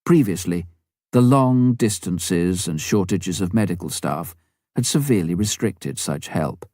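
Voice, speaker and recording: A man narrates a memoir in a lowish voice. His scripted reading is measured and clearly phrased, yet the intonation rises and falls a great deal. The sound is dry, with little room in it. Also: animated, mellow, no echo. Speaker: audiobook reader.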